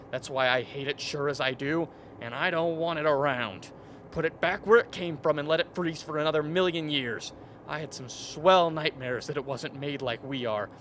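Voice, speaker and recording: An overwrought scientist in a radio drama , played by a man, with a fairly high voice. He is haunted and vehement, hammering on repeated words. Faint noise sits behind him. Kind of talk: dramatic radio play acting. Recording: faint steady background noise.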